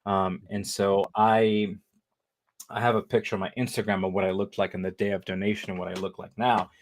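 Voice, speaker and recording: A man's voice: fairly low pitch, flat delivery, fairly quick. Rambling man in his thirties. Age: 30s. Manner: rambling, lots of fillers and restarts. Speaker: interview guest, living kidney donor. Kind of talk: casual conversational interview.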